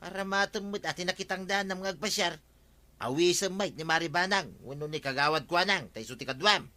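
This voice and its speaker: An actor playing a cocky, evasive village husband with an Ilocano accent in a radio comedy drama. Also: male voice, sits high, brisk, bright, forceful tone. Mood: evasive, boastful. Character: cocky, evasive village husband who dodges farm work.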